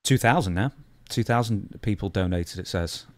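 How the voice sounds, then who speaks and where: A man's voice: fairly low pitch, normal speed, no room sound, clean studio sound. A man hosting a podcast, asking questions in a casual, conversational interview style.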